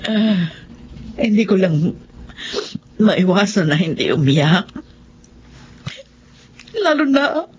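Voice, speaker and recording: A woman's voice: fairly low pitch, big pitch swings, slow, long pauses, darkish tone, slight background noise. A woman playing a devoted, sentimental middle-aged mother in a radio drama, speaking with emotion and hesitation. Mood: tearful, tender. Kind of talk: Tagalog radio drama acting. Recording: muffled radio drama audio with music.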